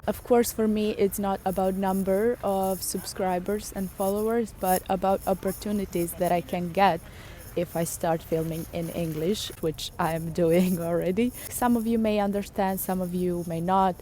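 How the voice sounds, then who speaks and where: A woman's voice: medium pitch, steady tone, moderate pace, warm tone, a little hiss or hum. Serbian-accented woman vlogging outdoors. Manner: chatty, thinks aloud with fillers. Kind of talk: casual talk to camera. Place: outdoors in a city.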